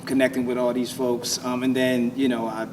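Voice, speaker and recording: A man's voice: medium pitch, level tone, normal speed. Hesitant American man, noisy distant recording. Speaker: stage play creator and actor being interviewed. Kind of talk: casual interview answers. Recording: distant mic, noisy room ambience.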